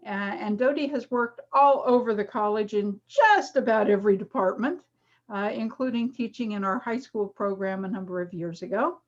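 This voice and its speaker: A woman's voice: mid-range voice, normal speed. A middle-aged woman teacher explaining a reading demonstration in a friendly, casual way with many fillers. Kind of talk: casual online lecture. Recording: dull lo-fi video call audio.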